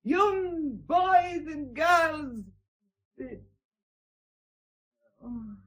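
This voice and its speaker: A woman playing a bitter, coarse-tongued fallen mistress in a radio drama, husky and histrionic. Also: lowish voice, muffled recording, full character voice. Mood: desolate, wistful. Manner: histrionic, mocking.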